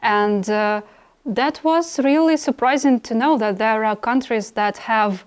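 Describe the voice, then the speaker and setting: Higher-pitched Russian woman hosting an interview. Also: smooth. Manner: attentive, frequent backchannels, halting phrasing. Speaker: podcast host. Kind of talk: conversational interviewing.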